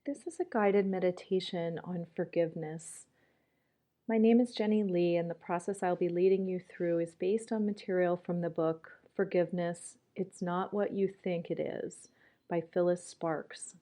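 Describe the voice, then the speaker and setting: Woman doing guided meditation, really slow and calm, soft mellow voice. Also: lowish voice. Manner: calm, gentle, unhurried with long pauses.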